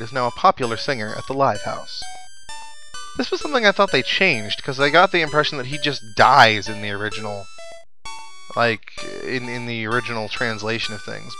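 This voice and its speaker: Wry man commentating over loud game music. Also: medium pitch, rough recording. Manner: wry, chatty asides. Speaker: let's player. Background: video game music.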